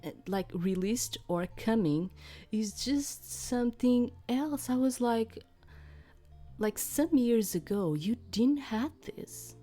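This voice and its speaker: Middle aged woman gamer rambling to viewers, kinda hesitant, really slow talker, bit of music behind. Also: a little high, animated, warm tone. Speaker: host of a gaming channel. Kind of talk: casual rambling chat to viewers.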